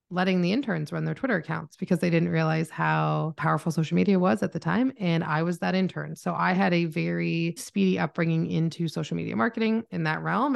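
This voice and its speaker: Canadian woman podcast guest, super fried kinda low voice, chatty storytelling, informal. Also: gravelly. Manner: informal, chatty, self-narrating. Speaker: podcast guest, marketing mentor. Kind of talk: conversational interview storytelling.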